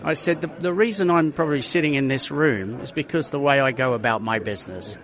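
A man's voice: medium pitch, brisk, fairly bright tone. A middle-aged man, a racing driver, chatting through his stories with many restarts. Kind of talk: casual interview storytelling.

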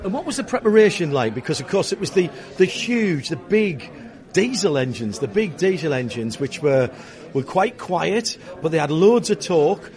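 A man interviews his guest with eager, quick questions. His voice is fairly high and swings a lot in pitch, and he cuts in with short prompts. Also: sing-song, a little mellow. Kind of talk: casual interview.

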